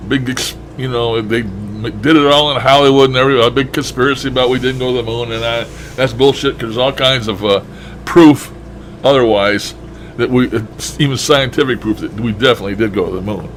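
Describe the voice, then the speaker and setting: A man's voice: medium pitch, fairly quick, a little hiss or hum, rough recording. A man talking in a casual, rambling monologue with a folksy, blunt and mildly profane manner. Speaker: shortwave radio hobbyist vlogger.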